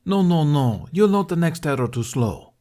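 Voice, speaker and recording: A man's voice: on the high side, expressive, normal speed, mellow, pitched above the performer's own voice, natural voice. Man acting a raised-voice, exacting warrior teacher. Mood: critical. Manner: emphatic, instructive. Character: broad-faced warrior teacher, jovial yet exacting man. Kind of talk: fan audiobook character voice.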